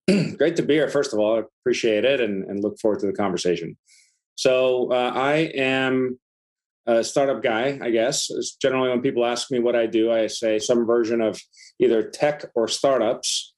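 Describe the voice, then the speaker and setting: A man's voice: medium pitch, moderate pace, a little mellow, poor audio. A middle-aged man from Iceland talking casually as a startup founder and interview guest.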